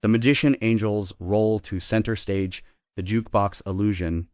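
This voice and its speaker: A man's voice: on the low side, fairly even intonation, moderate pace, darkish tone, dry recording, studio quality. A man narrating a script, reading stage directions and scene summaries aloud.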